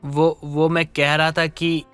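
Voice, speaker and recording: A man voicing a meek, patient husband in a Hindi story cartoon, speaking politely and hesitantly, pleading gently. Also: a little high, little pitch movement, slow pace, clean tone, soft background music. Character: meek, patient middle-class husband, lovestruck and submissive. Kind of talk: Hindi animated story dialogue.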